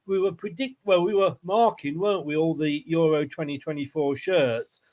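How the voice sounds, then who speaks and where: A man with a notably high voice hosts a casual football stream. He chats informally and teases as he goes, with an easy, jokey manner that readily breaks into laughter. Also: moderate pace, narrow, tinny audio. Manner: jokey, teasing, laughs easily. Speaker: host of a football fan channel show. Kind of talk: casual livestream chat.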